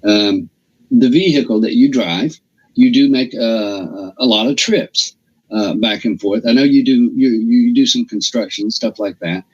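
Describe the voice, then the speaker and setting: Husky man with rambling questions. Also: medium pitch, a little slow, a little mellow. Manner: rambling, restarts, filler-heavy questions. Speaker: interview host. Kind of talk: casual interview. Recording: dull, lo-fi audio.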